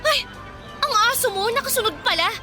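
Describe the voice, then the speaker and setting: An actress playing a jealous, sharp-tongued teenage schoolgirl in a radio drama, taunting and combative. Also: very high-pitched, animated, brisk, crowd noise. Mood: mocking. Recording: music behind the dialogue.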